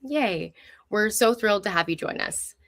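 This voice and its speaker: A woman's voice: medium pitch, expressive, moderate pace, fairly bright tone. A woman speaking as host of a live online show, presenting and interviewing in an upbeat, enthusiastic way.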